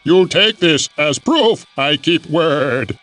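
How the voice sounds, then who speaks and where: A man voicing a cowardly demon creature in a video game, pleading and bargaining in halting, broken speech. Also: a little high, animated, fairly bright tone, no echo, faint music behind. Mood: pleading, servile. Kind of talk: video game character acting.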